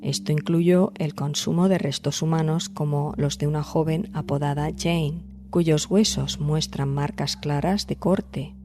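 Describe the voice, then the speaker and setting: A woman hosting and narrating a scripted storytelling podcast, addressing listeners directly in measured phrasing. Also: low voice, fairly even intonation. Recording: music behind the voice. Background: background music.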